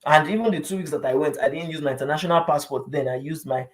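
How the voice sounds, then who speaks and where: A man's voice: fairly high pitch, fast talker. A man with a Nigerian accent speaks as an advice vlogger in an informal, explanatory style. His delivery is conversational and emphatic. He often repeats a phrase for weight and checks that the listener understands.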